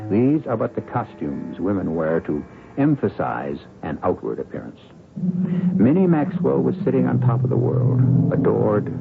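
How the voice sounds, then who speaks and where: A man narrates as host of a radio mystery in a deep, creaky voice. His delivery is wry and theatrical, with expressive swings. The old broadcast sounds dull. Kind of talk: dramatic scripted narration. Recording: dull vintage radio recording over music.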